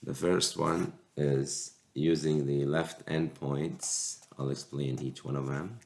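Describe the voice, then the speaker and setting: A man's voice: extremely low pitch, steady tone, gravelly, darkish tone. A man with a cold teaching a recorded calculus lecture, explaining step by step with frequent restarts. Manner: slow, halting explanation with restarts. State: sick with a cold. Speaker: math teacher.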